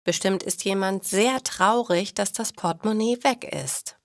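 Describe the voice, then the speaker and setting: A woman's voice: medium pitch, unhurried, no room sound, studio quality, natural voice. A woman voicing a cheerful, honest woman in a storybook, musing to herself with careful enunciation. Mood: surprised, caring.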